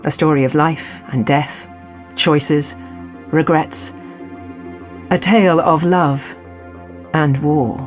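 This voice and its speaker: A woman's voice: low-pitched, fairly even intonation, slow pace, long pauses, soft background music. A woman playing a wry archaeology professor narrating her own adventure in an audio drama. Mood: sombre, reflective. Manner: wry, storytelling. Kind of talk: dramatic first-person scripted narration.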